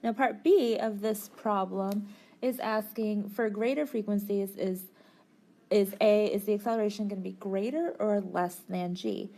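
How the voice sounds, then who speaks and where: A woman's voice: mid-range voice, steady tone, measured pace, mellow. A woman tutoring physics, explaining a worked problem step by step in a methodical, informal way.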